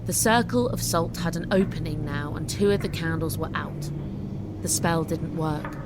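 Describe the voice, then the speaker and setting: A woman's voice: low-pitched, normal speed, very noisy. A woman giving scripted, dramatic story narration as an audiobook narrator. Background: music.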